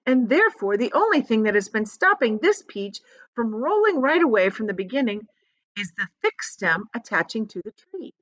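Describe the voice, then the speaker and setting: A woman voicing a kind, gentle, confident ladybug in a storybook, explaining things in a reassuring, eager way. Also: a little high, sing-song, moderate pace. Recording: dull, lo-fi sound.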